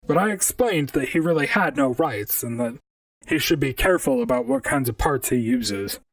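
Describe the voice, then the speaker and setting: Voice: medium pitch, sing-song, normal speed, creaky, dry recording, recorded from a distance, poor audio. An actor voicing a wry, talkative robot private detective in an audio drama, speaking and narrating in a dry, conversational noir manner.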